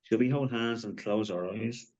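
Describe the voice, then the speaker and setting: Male actor as irreverent, brash wisecracker, lowish pitch, muffled lo-fi recording, table read. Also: normal speed, darkish tone, lightly acted. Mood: teasing. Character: cocky foul-mouthed wisecracking man. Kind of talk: live screenplay table read acting. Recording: dull lo-fi mic.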